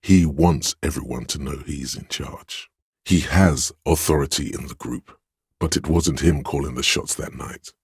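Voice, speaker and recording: An actor playing a commanding, domineering inner voice of authority in a video game, speaking with a very deep, resonant bass in a measured, imperious manner. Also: male voice, slightly rough, dry recording, studio quality, full character voice. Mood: grave, measured. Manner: imperious, measured, scolding and goading. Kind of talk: dramatic game narration, inner-voice lines.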